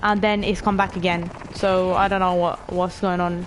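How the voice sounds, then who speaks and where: A woman's voice: on the low side, normal speed, music in the background, poor audio. Sniffly teenage girl streaming a game. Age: late teens. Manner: chatty, excitable, swears casually, talks to viewers. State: stuffy nose, sniffly. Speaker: gaming streamer. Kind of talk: casual let's-play commentary.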